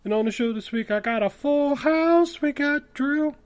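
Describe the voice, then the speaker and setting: A man's voice: very high-pitched, normal speed. A man in his forties hosting a podcast with casual, blunt, sweary banter. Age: 40s. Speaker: podcast host.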